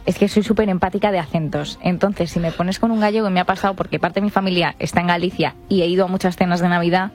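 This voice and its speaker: A woman's voice: lowish voice, fairly even intonation, fast talker, few pauses, darkish tone. A woman from Madrid with a Madrid accent, hosting a studio talk show, reading out survey figures and adding joking asides. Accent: Madrid Spanish.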